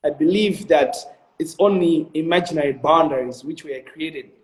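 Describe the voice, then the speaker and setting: A man's voice: a little high, normal speed, a little mellow, roomy sound, poor audio. A young man in his twenties with a Malawian accent, answering interview questions in an earnest, motivational way, with many ums and restarts. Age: 20s. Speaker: interview guest, video director.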